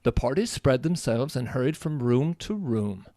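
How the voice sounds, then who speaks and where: An actor playing an astonished gentleman, a detective's companion, in a radio drama with a theatrical, emphatic delivery. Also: mid-range voice, lively intonation, normal speed, no room sound, studio quality, natural voice. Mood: dramatic reading. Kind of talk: live radio drama acting.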